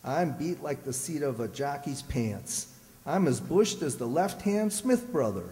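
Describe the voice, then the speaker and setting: A hapless, soft-hearted grumbler, played by a man in old-time radio comedy. His fairly high voice rises and falls a lot as he gripes at an unhurried pace in a reverberant hall. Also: very animated. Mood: weary, grumbling. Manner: griping, exclamatory. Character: grumbling, hapless, soft-hearted husband full of folksy exclamations. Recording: reverberant community stage hall.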